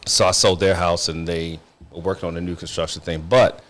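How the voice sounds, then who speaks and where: Relaxed man in his fifties telling stories. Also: brisk. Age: 50s. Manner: storytelling, mimics people in a higher voice. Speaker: guest, real estate agent. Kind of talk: casual chat.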